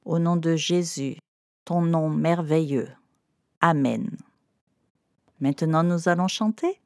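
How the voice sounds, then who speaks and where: Slow, low-voiced woman narrating for children. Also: a little mellow, dry recording, clean studio sound. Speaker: narrator of children's Bible stories.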